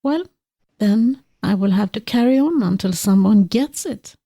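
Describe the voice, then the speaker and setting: A woman's voice: mid-range voice, animated, measured pace, dark tone, no room sound, studio quality. A woman with a Swedish accent, a podcast host speaking earnestly and reflectively in an interview conversation. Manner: earnest, reflective, frequent restarts.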